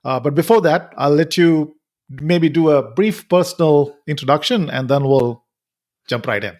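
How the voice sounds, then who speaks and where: A man's voice: on the high side, normal speed, a little mellow. A man hosting a podcast interview, speaking hesitantly with many uhs and you knows. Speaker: podcast host. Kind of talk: casual interview.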